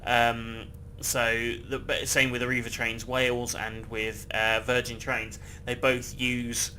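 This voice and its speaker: A man's voice: mid-range voice, flat delivery, slow, bright, forceful tone, slight background noise, low-quality recording. A man casually chatting and rambling while he plays a game, swearing freely and laughing often. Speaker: gameplay video host. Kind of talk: casual gameplay commentary.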